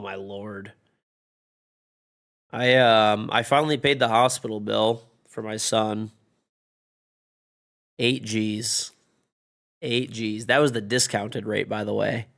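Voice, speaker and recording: Streamer, male, 30s, congested and going hoarse, gravelly voice, casual and profane. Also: on the low side, steady tone, slow pace, long pauses, a bit bright. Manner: loose, profane, rambling. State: losing his voice, congested.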